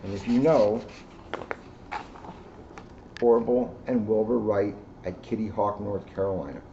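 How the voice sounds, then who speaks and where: Man reading a storybook, soft mellow tone. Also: fairly low pitch, measured pace. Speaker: police officer reading a storybook aloud. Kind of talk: children's storybook read-aloud with casual asides. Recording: dull lo-fi sound, faint background noise.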